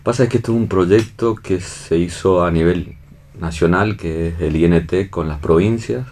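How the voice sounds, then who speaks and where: Deep-voiced Argentine man in interview. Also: moderate pace, a little mellow. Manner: seeks agreement with tag questions. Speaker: theatre and film director being interviewed. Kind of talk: casual explanatory interview talk.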